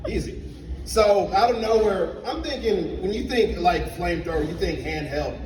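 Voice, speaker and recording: High-voiced man doing stand-up in an echoey club. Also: moderate pace, noisy background. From Liberia. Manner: animated storytelling, acting out dialogue. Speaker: stand-up comedian. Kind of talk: stand-up comedy set. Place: comedy club stage. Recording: echoey club sound from a distance. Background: audience laughter.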